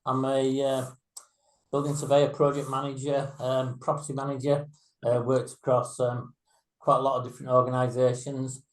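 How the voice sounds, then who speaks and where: A man's voice: mid-range voice, monotone, moderate pace. A man, a building surveyor, introducing himself hesitantly on a webinar video call, with many fillers. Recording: echoey room.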